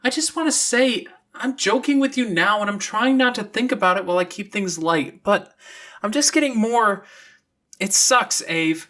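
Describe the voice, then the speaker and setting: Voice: medium pitch, normal speed, fairly bright tone, pitched above the performer's own voice, lightly acted. A narrator voicing a teasing, moody and blunt teenage girl in an audiobook. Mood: hurt, blunt.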